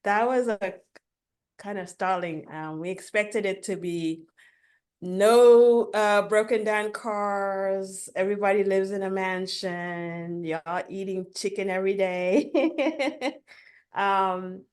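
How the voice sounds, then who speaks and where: Animated woman guest telling her story. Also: medium pitch, slow pace. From Africa. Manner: animated, laughs between points. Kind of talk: casual interview storytelling.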